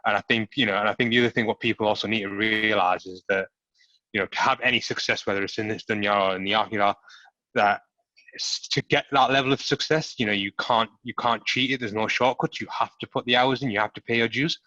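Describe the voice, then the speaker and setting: A quick-talking man with a Middlesbrough accent, his lowish voice bright and crisp but held to a monotone. He hosts a chat over a video call, earnest and full of filler phrases. The sound is dry and dull, like lo-fi call audio. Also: dry recording. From Middlesbrough, England. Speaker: host.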